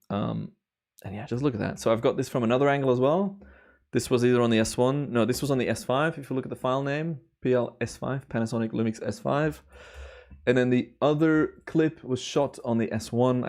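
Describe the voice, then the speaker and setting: A slightly hoarse young man from Belgium, chatting casually with viewers while reviewing his timelapse footage on a live stream. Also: mid-range voice. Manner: relaxed, rambling, chatty with viewers. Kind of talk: relaxed live stream commentary.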